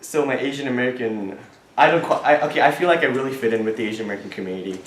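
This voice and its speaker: A young man from Thailand answering questions as a student board candidate, earnest and halting, restarting his sentences often. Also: medium pitch, fairly bright tone, a little hiss or hum. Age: young adult. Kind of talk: answering questions at an election forum. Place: classroom. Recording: echoey classroom.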